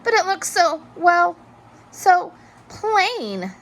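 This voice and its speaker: A woman voicing an earnest, gentle hermit crab in a children's storybook, with expressive, sweetly emphatic delivery. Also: very high-pitched, very slow, voice pushed up, exaggerated voice. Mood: wistful.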